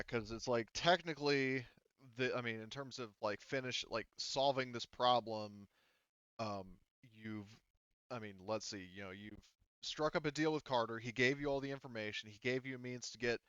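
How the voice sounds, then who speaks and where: A man's voice: medium pitch, moderate pace, fairly bright tone, clean tone, dry recording, dull audio. A man running a tabletop game, talking casually as game master and explaining options in a rambling, hedging way.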